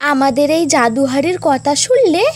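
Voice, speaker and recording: Cautious mother bird for a kids' cartoon, played by a woman, high-pitched, music underneath. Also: animated, normal speed. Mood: wary. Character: cautious mother bird guarding a magic pot. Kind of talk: Bengali children's cartoon dubbing.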